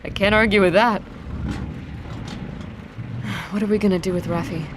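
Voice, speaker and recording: A woman's voice: mid-range voice, fairly even intonation, normal speed, natural voice. Actress as wary woman scientist, noisy ambience. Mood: uneasy. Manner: questioning, wary, wry. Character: anxious, sharp-witted female virologist. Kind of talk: audio drama acting. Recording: noisy outdoor vehicle ambience.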